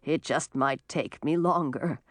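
A woman voicing a frail old male beggar in a storybook, plaintive and falsely humble with drawn-out phrasing. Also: low-pitched, no echo, clean studio sound. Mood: feigned politeness. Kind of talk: storybook character voice.

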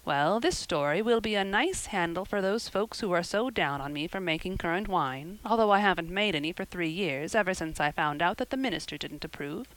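Female reader playing a brisk strict middle-aged woman, clipped and matter-of-fact, close dry sound. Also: lowish voice, expressive, a bit bright, no echo, low-quality recording. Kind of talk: audiobook character reading.

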